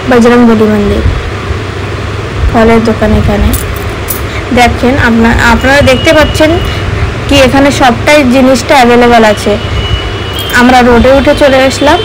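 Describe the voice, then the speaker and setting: High-pitched young woman vlogging over noise. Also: fairly even intonation, normal speed, pauses often. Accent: Hindi-influenced Bengali. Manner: chatty, repetitive, frequently appealing to viewers. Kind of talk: casual vlog narration addressed to viewers. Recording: noisy outdoor street with traffic.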